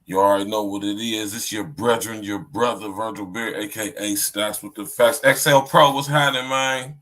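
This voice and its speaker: A man's voice: lowish voice, fairly even intonation, moderate pace, fairly bright tone. A man hosting his podcast, opening with loose, hype greetings full of slang. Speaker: podcast host. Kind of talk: casual podcast intro.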